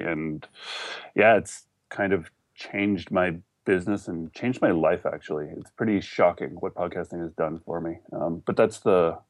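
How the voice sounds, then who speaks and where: A man's voice: deep voice, dry recording. A man with a Canadian accent chatting casually and informally as a podcast interview guest, telling his story in a loose, rambling way. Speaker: podcast guest, online entrepreneur.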